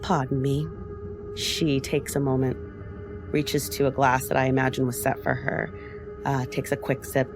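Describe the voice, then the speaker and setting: A woman tabletop player describes her character's actions in a voice that dips below her acting pitch. Music is clearly audible behind her. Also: flat delivery, moderate pace.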